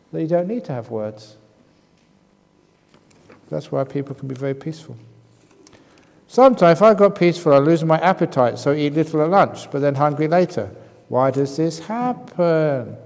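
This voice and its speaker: A man's voice: on the high side, warm tone. Man teaching meditation in a reverberant hall. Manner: joking, teasing, reads out audience questions. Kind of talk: retreat Q&A talk.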